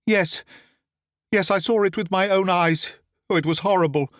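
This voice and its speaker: A scheming noblewoman in a storybook reading, her voice raised high and pleading, as a man puts her on. She is theatrical and falsely grieving, and the recording is close and dry with no room sound. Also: normal speed, a bit bright, no echo. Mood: distressed. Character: scheming noblewoman, feigning grief.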